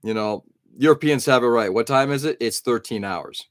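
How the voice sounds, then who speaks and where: A man's voice: mid-range voice, moderate pace, no echo, clean studio sound. A middle-aged man hosting an early-morning live stream, rambling casually and answering viewers' chat comments.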